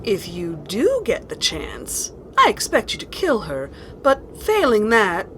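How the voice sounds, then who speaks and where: A woman's voice: medium pitch, huge pitch swings, takes it slowly, a bit bright, slight background noise, full character voice, low-quality recording. A woman playing a commanding, scheming villainess in a fantasy audio drama, with imperious, theatrical delivery. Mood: commanding, cold. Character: scheming, commanding villainess plotting to seize power.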